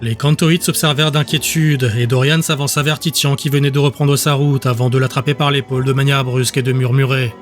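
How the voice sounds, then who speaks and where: A male narrator reads scripted fiction for an audiobook. His voice sits at an average pitch, and his delivery is measured and descriptive. Faint music runs behind him. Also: fairly even intonation. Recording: music under the voice.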